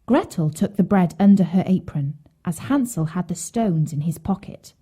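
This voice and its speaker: A woman's voice: fairly low pitch, animated, normal speed, warm tone, slightly rough. A woman narrating a scripted bedtime fairy tale as a storyteller for children.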